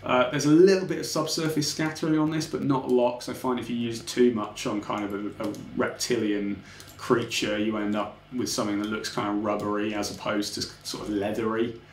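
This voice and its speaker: A man's voice: fairly low pitch, flat delivery, a little fast. A young man casually talking through his 3D animation work in a tutorial, full of "kind of" and "um" as he clicks through his setup at a desk. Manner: informal, technical, frequent "kind of" and "um" hedges. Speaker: 3D artist explaining his work. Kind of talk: relaxed screen-recorded tutorial walkthrough. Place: small home office. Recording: roomy home office with faint background hum.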